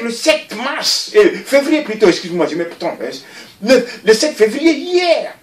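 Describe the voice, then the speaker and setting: A man, giving a recorded interview and talking in an animated, rambling way, often repeating himself. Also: very high voice, echoey room, far from the mic. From Mali.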